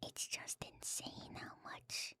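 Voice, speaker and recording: A woman streamer speaking in a soft, breathy ASMR whisper, reading aloud and adding casual, musing comments. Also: takes it slowly, dry recording. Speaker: streamer and host. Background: music.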